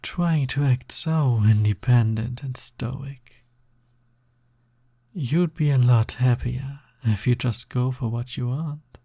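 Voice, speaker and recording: Slow, husky, gravelly snake demon played by a man. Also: mid-range voice, warm tone, no room sound, clean studio sound. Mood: smug, affectionate. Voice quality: breathy, gravelly. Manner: slow, teasing, drawling, often dropping to a whisper. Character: sly young male naga, snake demon roommate, smug and possessive. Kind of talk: intimate scripted audio roleplay, close ASMR-like delivery.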